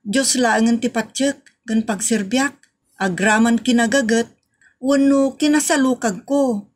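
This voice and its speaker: Woman acting as repentant grown child, raised pitch, slow sincere pleading, earnest, comedy audio drama. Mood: grateful, resolved.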